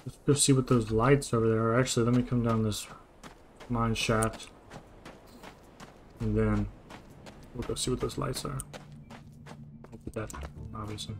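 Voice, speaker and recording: A young man casually commenting as he plays a video game, musing quietly to himself. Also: fairly even intonation, moderate pace, pauses often. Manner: mumbled, low-key musing to himself. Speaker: gaming streamer. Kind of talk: relaxed let's-play commentary.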